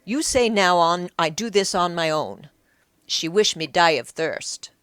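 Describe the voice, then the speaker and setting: A woman voicing a hot-tempered, aggrieved male drunkard of ancient Egypt in an audiobook, loud and emphatic. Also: on the low side, lively intonation, unhurried, smooth, no room sound. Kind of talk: audiobook character acting.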